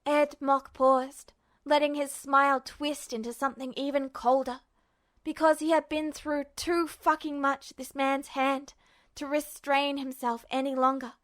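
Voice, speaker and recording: A woman's voice: high-pitched, little pitch movement, a little slow, fairly bright tone. A woman reading fan fiction aloud as a narrator, in a dramatic storytelling style. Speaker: podfic narrator.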